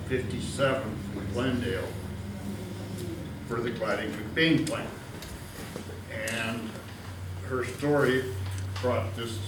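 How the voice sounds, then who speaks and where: This is an older man sharing a story in a folksy, informal way. His voice is loud, yet it comes from some way off in an echoey room. Clear background noise sits under it. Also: mid-range voice, recorded from a distance. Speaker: audience member sharing a memory. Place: community room at a public panel event.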